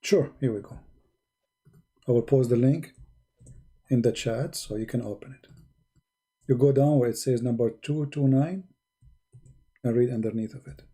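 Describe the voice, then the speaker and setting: Male host with an Arabic accent, dark husky voice, pushy debate style. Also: medium pitch, normal speed, long pauses. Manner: insistent, reads out sources, talks over the caller. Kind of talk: live-stream debate.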